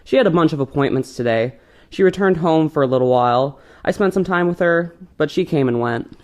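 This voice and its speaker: A man's voice: a little high, animated, fairly quick, darkish tone, natural voice. A man acting as an easygoing, cocky teenage grandson in an audio play, with a casual, flippant delivery.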